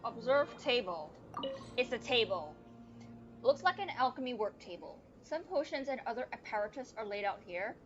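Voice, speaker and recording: A woman's voice: a little high, sing-song, moderate pace. A young woman live-streaming a game with casual, playful commentary and wry asides, sometimes reading the game's text aloud. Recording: dull lo-fi mic, game music behind.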